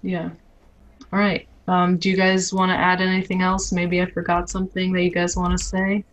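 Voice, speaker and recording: A woman's voice: fairly low pitch, completely flat delivery, moderate pace. A woman hosting a podcast, chatting casually and thanking her guests. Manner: friendly, wraps up with repeated thanks. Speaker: podcast host. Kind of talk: casual interview chat.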